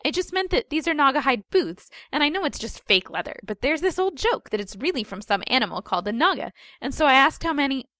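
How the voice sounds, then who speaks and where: An earnest young rookie girl, played by a woman narrator speaks in a hesitant, gullible way. Her voice sits fairly high and the sound is dry. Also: sing-song, fast talker, few pauses, sharp tone, dry recording, full character voice. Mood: sheepish.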